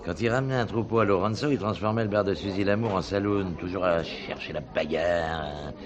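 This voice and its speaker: Guy playing deep voiced businessman in a french play, hesitant, surprised, people noise behind. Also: a little fast, a crowd in the background, close to an everyday voice. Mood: musing, amused. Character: surprised, hesitant middle-class businessman, mildly sceptical. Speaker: actor in a stage play. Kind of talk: French theatre dialogue acting.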